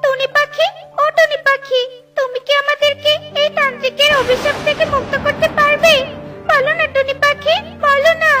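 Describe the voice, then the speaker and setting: A woman's voice: very high-pitched, flat delivery, moderate pace, sharp tone, soft background music, voice pushed up. A woman voicing a pleading, childlike young cloud princess trapped as a doll in a children's cartoon.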